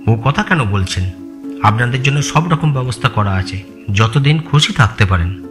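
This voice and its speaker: A man's voice: medium pitch, lively intonation, moderate pace, lightly acted. A man acting a loyal, respectful long-time employee in an audio story, giving plain deferential explanations. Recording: music bed behind the voice.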